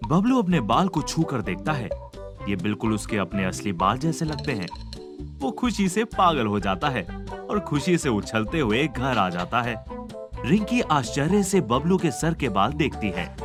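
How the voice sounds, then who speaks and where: A man's voice: medium pitch, sing-song, a little slow. A man reading scripted narration for a cartoon story. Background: background music.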